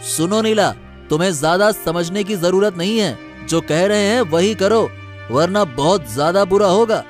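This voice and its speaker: A man's voice: high voice, normal speed, faint music behind, natural voice. A man voicing a greedy, menacing, insistent village conspirator in a cartoon story. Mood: threatening.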